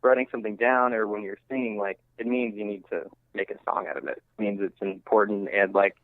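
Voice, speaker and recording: Male musician guest, lowish voice, casual and halting interview talk, heard over a thin, phone-like connection. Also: creaky, dry recording. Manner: halting, informal, rambling thought by thought. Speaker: interviewed singer-songwriter and music teacher. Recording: thin phone-line sound.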